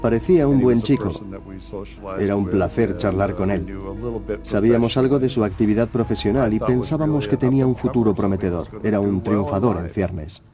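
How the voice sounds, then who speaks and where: An approving witness played by a man speaks in a dramatised documentary testimony. The voice is fairly low, over clearly audible music. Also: moderate pace, lightly acted. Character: approving male acquaintance giving a character testimony.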